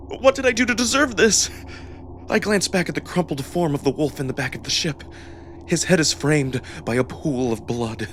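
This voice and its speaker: A man's voice: fairly high pitch, fairly bright tone, a little hiss or hum. A man giving dramatic first-person scripted narration in a theatrical, emotionally intense manner. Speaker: narrator and performer of a visual novel.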